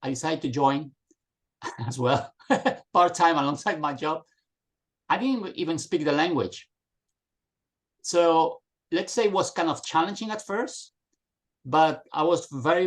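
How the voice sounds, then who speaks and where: A man's voice: a little high, normal speed. A middle-aged man from Spain, a guest telling his story on a video call. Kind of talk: casual video call chat.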